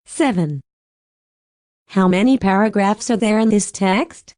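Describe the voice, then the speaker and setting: Slow, deliberate woman reading textbook sentences. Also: mid-range voice, a little mellow, no echo, studio quality. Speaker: audio narrator for an English textbook. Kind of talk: scripted textbook listening narration.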